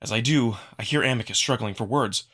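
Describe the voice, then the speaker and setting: Male narrator, sharp bright tone, mid pitch, expressive first-person storytelling for a visual novel. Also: brisk, breathy edge. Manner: expressive, acting out the narrator's feelings. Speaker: narrator, amateur voice performer.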